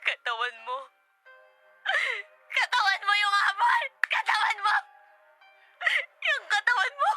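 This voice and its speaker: A woman's voice: very high voice, wildly swooping pitch, takes it slowly, pauses often, pushed and bright, soft background music, exaggerated voice. A woman with a Filipino accent playing a hurt, hot-tempered young girlfriend in a melodramatic Tagalog radio drama. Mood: anguished rage. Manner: melodramatic, emphatic, repeats phrases.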